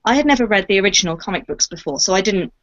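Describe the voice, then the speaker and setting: A woman's voice: mid-range voice, sing-song, very fast, fairly bright tone, highs cut off. A woman who acts in audio drama, chatting reflectively and expressively about her work, stressing key words. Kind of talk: casual Q&A chat.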